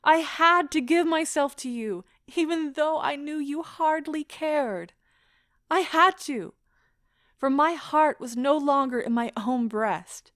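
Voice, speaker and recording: An actress plays a wounded woman in a romantic audio drama, pleading with theatrical intensity. Her voice is high and she takes her words slowly, weighing each one with impassioned feeling. The sound is close and dry. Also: a bit bright, dry recording. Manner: theatrical, impassioned, deliberate delivery. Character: anguished, pleading woman leaving a broken love affair. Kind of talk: stage-style audio drama acting.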